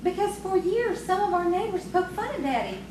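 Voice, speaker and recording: A woman's voice: extremely high pitch, sing-song, a little fast. A woman playing a coy, proud young farmer's daughter in audio theatre, with an earnest storytelling manner. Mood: playful. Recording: distant, very echoey, noisy stage recording.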